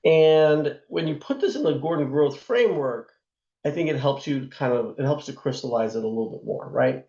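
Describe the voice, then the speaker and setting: A man teaching a class on investing, hoarse from a sore throat, talking in a loose conversational way with plenty of fillers and asides. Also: a little high, moderate pace, dark tone, roomy sound, dull audio. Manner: loose and conversational, many fillers and tag questions. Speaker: instructor. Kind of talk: lecture on investing.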